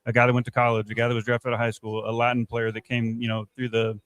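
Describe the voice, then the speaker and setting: Male interviewee, sports director type. Rapid, level delivery with frequent restarts, dry sound. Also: medium pitch, completely flat delivery, a bit bright, dry recording. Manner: halting, repetitive, filler-heavy phrasing. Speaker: baseball player development director, former player. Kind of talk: unscripted interview answers.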